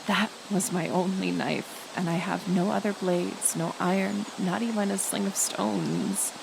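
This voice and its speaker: Woman playing a kind stubborn woods healer lady, slow halting talk, bit of fry, insects n forest sounds behind, audio roleplay. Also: fairly low pitch, steady tone. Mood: gentle pleading. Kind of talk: roleplay audio drama, speaking directly to the listener. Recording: outdoor nature ambience, insect noise. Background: forest ambience with insects.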